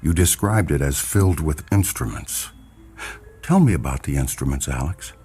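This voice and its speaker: An actor playing a hypnotist doctor in a radio drama, formal and measured, soothing with patients yet protective of his dignity. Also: very deep voice, expressive, mellow, faint music behind. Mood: soothing, hypnotic.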